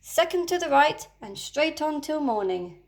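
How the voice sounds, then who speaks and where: A woman's voice: high voice, very animated, unhurried, gravelly, voice pushed up, exaggerated voice. A woman doing an eager young boy hero. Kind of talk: storybook character voice.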